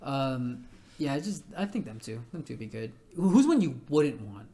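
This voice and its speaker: A young man with a nasal, rather high voice, co-hosting a casual podcast chat, with halting restarts. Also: sing-song, warm tone, slight background noise. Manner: halting, stammering restarts, laughs often. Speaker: podcast co-host.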